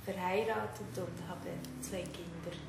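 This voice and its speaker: A woman's voice: fairly low pitch, fairly even intonation, unhurried. A middle-aged woman with a Sri Lankan accent, a native Tamil speaker, giving hesitant interview answers in simple phrasing. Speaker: interviewee, therapist. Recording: echoey room, clear background noise.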